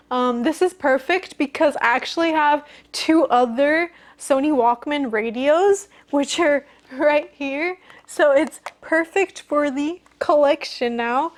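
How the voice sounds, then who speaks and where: A woman presenting a collection in a casual show-and-tell style, with enthusiastic, informal phrasing and youthful slang. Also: high-pitched. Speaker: music collecting video host.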